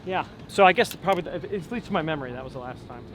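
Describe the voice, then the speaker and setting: A relaxed man in his forties with a fairly high speaking voice, thinking aloud with plenty of ums. He talks while walking outside, and clear traffic and street sounds are heard behind him. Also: very fast. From Long Island, New York. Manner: relaxed, thoughtful, many ums and restarts. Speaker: opera tenor guest. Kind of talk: casual interview while walking. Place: outdoors on a city street. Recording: outdoor street noise.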